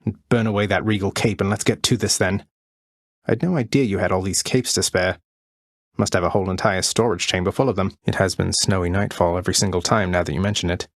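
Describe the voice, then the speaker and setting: Low, husky, wry young rebel prince. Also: male voice, no echo. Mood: dry, resolute. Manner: dry sardonic understatement, formal turns of phrase. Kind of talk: video game voice acting.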